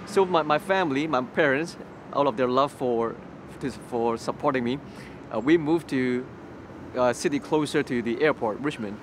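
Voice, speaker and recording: A young man from Hong Kong, a Cantonese native speaker, telling his story in an interview on a city street, with many restarts and repeated words. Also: fairly high pitch. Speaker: interview guest. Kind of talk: personal testimony interview. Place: outdoors on a city street by a graffiti wall. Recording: street noise in the background. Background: city traffic noise.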